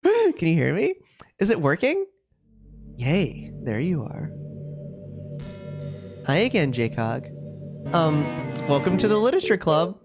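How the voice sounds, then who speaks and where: A man's voice: fairly high pitch, wildly swooping pitch, a little slow, pauses often, heavily acted. A man voicing a sweet, possessive, self-aware schoolgirl from a video game in a chatty, cutesy, confiding way. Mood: sweetly confiding. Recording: music playing behind the voice. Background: game music.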